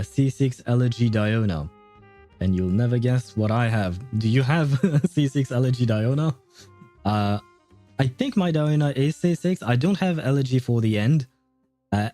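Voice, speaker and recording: A man's voice: medium pitch, mellow. A man livestreaming a video game, chatting casually with viewers in a conversational, meandering way.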